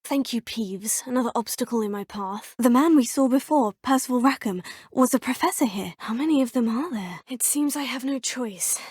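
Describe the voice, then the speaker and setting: A woman's voice: on the high side, a little mellow, husky, no echo, clean studio sound. A curious schoolgirl witch. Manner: polite, inquisitive and earnest, often murmuring to herself. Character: curious teenage girl wizarding student. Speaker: player character. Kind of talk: video game voice lines. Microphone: close studio mic.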